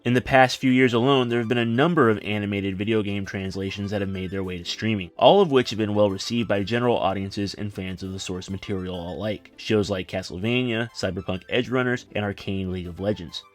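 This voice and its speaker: A man narrating a scripted film video essay as its host, with wry, sardonic asides. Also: fairly low pitch. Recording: music behind. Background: background music.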